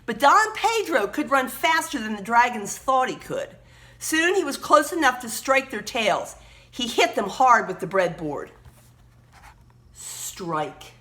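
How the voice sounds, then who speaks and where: A woman reading a children's story aloud expressively, emphatically pausing to point out words and pictures. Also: on the high side, big pitch swings, fairly bright tone.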